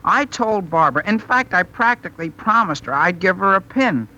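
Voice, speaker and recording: A man's voice: high voice, lively intonation, moderate pace, pushed and bright, full character voice, poor audio. A man playing an eager, awkward teenage boy who wheedles and stammers earnestly in a 1930s radio comedy. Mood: earnest, wheedling.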